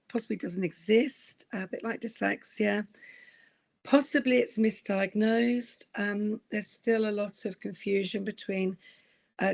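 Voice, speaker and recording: Croaky woman presenting. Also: mid-range voice. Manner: earnest, explanatory, asks for slide changes. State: croaky, recovering from illness. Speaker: guest presenter. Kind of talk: online presentation talk.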